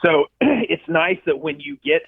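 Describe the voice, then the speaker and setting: A man who directs films, a guest telling stories in a casual interview, animated and swearing freely. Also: a little high, measured pace, creaky, no room sound. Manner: animated, profane storyteller. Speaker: film director and effects artist, guest. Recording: dry tinny phone-like line.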